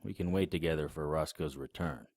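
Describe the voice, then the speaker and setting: A man's voice: rumbling low, fairly quick, a little mellow, no echo. A man narrating and acting the lead in a noir audio drama, dry and wry in manner.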